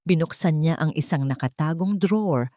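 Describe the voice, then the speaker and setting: A woman's voice: deep voice, normal speed, mellow, no room sound, clean studio sound. A woman with a Filipino accent reading a scripted dramatic story as its narrator.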